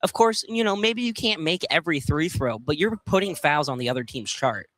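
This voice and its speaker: Male color commentator for college basketball, fairly high and bright voice, chatty analysis between plays. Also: lively intonation, fairly quick. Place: college field house during a game.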